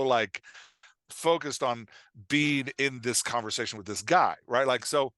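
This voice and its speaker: A man's voice: mid-range voice, pushed and bright, dry recording. A man speaking as a guest writing coach on a podcast, explaining things in an informal, emphatic way with quoted examples. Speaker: guest author and dialogue coach.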